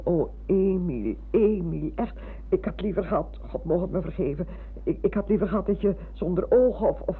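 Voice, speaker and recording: Old retired schoolmistress, female, sentimental, fussy, husky, radio play, muffled narrow-band sound. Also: low voice. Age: older. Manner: rambling, fussy, self-interrupting.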